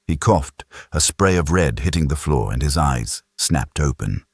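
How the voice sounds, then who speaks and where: A man's voice: extremely low pitch, lively intonation, measured pace, breathy edge, no echo, studio quality. A man narrating a sci-fi audiobook in a grave, dramatic, suspenseful storytelling style.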